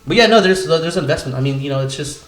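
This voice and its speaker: A man's voice: a little high, expressive, fast talker. A man chatting casually as a podcast co-host, rambling and slangy, with some swearing. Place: room used for podcast, indoors. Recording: roomy echo, faint noise.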